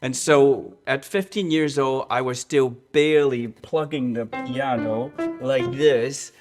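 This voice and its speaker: Man, earnest storyteller, mid pitch, halting restarts. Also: fairly even intonation, a little mellow, faint music behind. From Hong Kong. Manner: earnest, restarts and repeats words, slows on key points. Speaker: church music director. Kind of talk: interview, personal storytelling.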